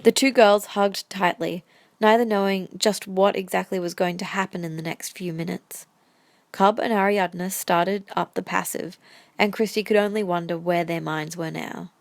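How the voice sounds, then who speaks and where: A woman's voice: on the low side, fairly even intonation, moderate pace, vocal fry, dry recording. A woman reading scripted story narration for an audio drama.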